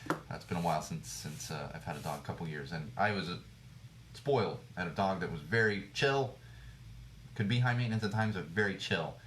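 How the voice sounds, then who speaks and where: Indignant man ranting, slightly hoarse. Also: sits low, fairly quick, fairly bright tone, reverberant, a little hiss or hum. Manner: indignant, blunt, repeats phrases for emphasis. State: slightly hoarse, losing his voice. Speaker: podcast host. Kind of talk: opinionated news commentary rant.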